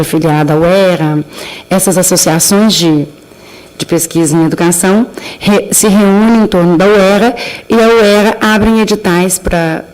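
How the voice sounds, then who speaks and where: A woman with a Brazilian Portuguese accent giving a formal, measured talk at an academic round table, pausing with fillers and restarts. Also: on the low side, darkish tone, low-quality recording. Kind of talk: formal conference presentation. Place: university lecture amphitheater.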